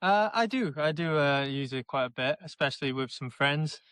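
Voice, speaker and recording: Smooth-voiced young South Wales valleys man. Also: fairly high pitch, lively intonation, a bit bright, no room sound. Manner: plainspoken, halting, searches for words. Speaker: interviewee, election candidate. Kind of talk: casual interview answers.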